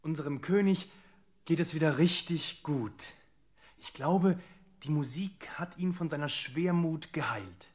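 An actor playing a confiding palace servant in a German radio play. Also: male voice, fairly high pitch, a little slow, natural voice.

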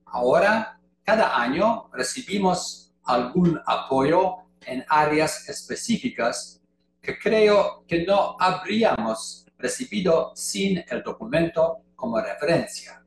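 Slow older man speaking carefully. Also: on the high side, lively intonation. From Canada. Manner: slow, deliberate delivery in a second language. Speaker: conference speaker. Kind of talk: prepared lecture. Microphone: video call webcam. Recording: echoey room, faint noise.

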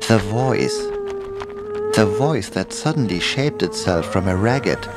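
A man's voice: on the low side, sing-song, takes it slowly. A man reading a scripted first-person horror story as its narrator. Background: music behind the voice.

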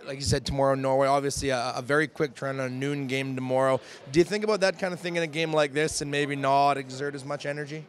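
A man interviewing players and coaches after a game, asking brisk questions. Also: mid-range voice, fairly even intonation.

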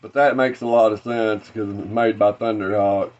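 A man's voice: fairly low pitch, steady tone, normal speed, dull audio. A man in his thirties from Arkansas with a Southern accent, hosting a casual fishing-lure unboxing vlog. He talks in a rambling way, with many "uh" fillers and restarts, from a small tackle-filled room. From Arkansas, USA. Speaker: fishing channel host.

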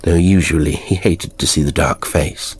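Very deep-voiced man narrating a horror tale. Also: steady tone, normal speed, slight background noise. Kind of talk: scripted dramatic storytelling narration.

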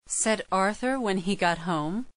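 A woman's voice: medium pitch, unhurried, a bit bright, no echo. A woman reading scripted narration for a children's storybook as its narrator.

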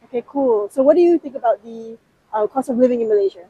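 A woman interviewing people on a city street, asking brisk, short questions while holding a handheld mic toward them. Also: on the high side, lively intonation, mellow, highs cut off, slight background noise. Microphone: holding a handheld mic toward others.

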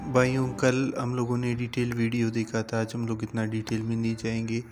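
A man in his thirties, a travel vlogger, walking a cricket ground and talking to a phone camera at arm's length in an unhurried, repetitive way. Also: medium pitch, music in the background. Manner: flat, steady and unhurried, with many repeats. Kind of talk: casual walking vlog. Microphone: phone camera held at arm's length.

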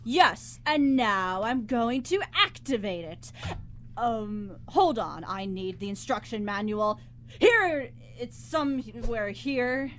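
Woman playing a slow, pompous scheming writer, high voice. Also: expressive, fairly bright tone, slight background noise. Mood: panicked. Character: bossy, smug, scheming writer turning desperate. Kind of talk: live student radio comedy acting. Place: college radio studio, live broadcast.

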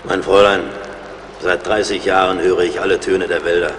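A man acts a seasoned woodsman in an audio drama. His voice is deep and his manner plain-spoken, watchful and wry. It rings with long reverb over clear background noise. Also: little pitch movement, normal speed, a bit bright. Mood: grave, assured. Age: middle-aged. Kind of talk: German audio drama acting. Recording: reverberant, noisy outdoor-like ambience.

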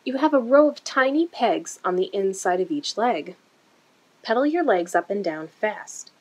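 A woman reads a picture book aloud to young children. Her mid-pitched voice has a husky, slightly breathy edge. She phrases things deliberately and expressively, as in a story time. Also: poor audio. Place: indoor room.

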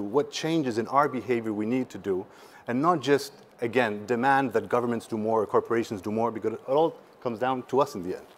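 A man around forty, speaking earnestly and emphatically in a conversational conference talk. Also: mid-range voice. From Patagonia, Chile. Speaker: startup representative, panel speaker. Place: seated on a conference stage indoors.

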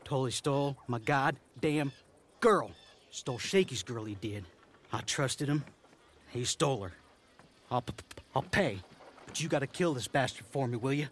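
A jittery western outlaw, voiced by a man who stammers through nearly every word, bitter and suspicious. His voice sits at a mid pitch with faint noise behind it. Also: expressive, normal speed, long pauses, close to an everyday voice. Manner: heavy stutter. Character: nervous stammering male outlaw, petty and bitter.

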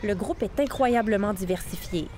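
A woman with a Québécois accent narrating a news report in a measured, clear voice. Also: medium pitch, crowd noise, background noise. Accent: Québécois French. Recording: voice-over with music behind.

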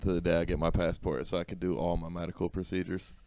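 A man's voice: sits low, level tone, fast talker, darkish tone, no room sound. A man in his thirties co-hosting a casual comedy podcast with crude, joking banter on a handheld mic. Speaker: podcast co-host. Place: small podcast set, indoors. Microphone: handheld microphone.

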